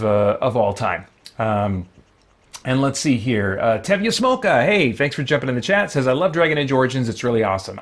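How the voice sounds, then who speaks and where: A man talks casually as he streams a game live, in a voice of middling pitch. He rambles a little and thinks out loud, keeping things informal as he explains and chats with viewers. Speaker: live streamer, gaming channel host.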